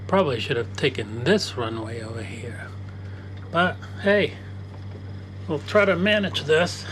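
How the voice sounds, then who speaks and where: A man giving relaxed, casual commentary while flying a flight simulator at his home desk, often correcting himself mid-sentence. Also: on the high side, a little slow, a little hiss or hum, low-quality recording. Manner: chatty, self-correcting, relaxed.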